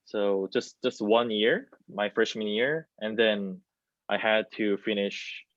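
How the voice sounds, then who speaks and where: Halting young Korean-accented man, lowish voice. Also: steady tone, fairly bright tone, no room sound. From South Korea. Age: 20s. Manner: halting, searching for words. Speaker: theater student. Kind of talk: panel discussion answer. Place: small plain room, indoors. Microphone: wireless earbuds on video call. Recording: muffled video-call audio.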